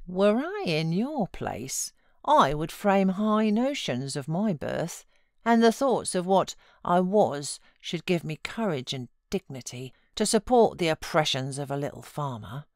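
A woman's voice: lowish voice, very animated, no room sound, studio quality. A British woman voicing a motherly housekeeper who coaxes and scolds frankly in a storybook reading. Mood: earnest encouragement. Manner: brisk advice, coaxing and chiding.

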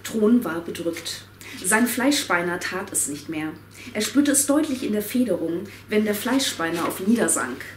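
A woman reading as a wry storyteller, measured and deliberate, in a dramatic radio play style. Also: medium pitch, animated, natural voice. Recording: roomy, distant mic, background noise.

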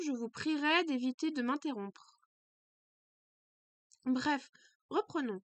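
A woman's voice: sits high, no echo, close to an everyday voice. A woman voicing a prim, strict schoolgirl student with formal, precise diction.

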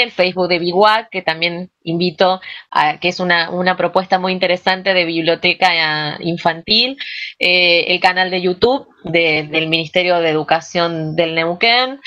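A woman's voice: mid-range voice, a bit bright. Dull-sounding video call, middle-aged woman presenting. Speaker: workshop presenter. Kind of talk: presenting and reading aloud. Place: indoor room. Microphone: computer mic on a video call.

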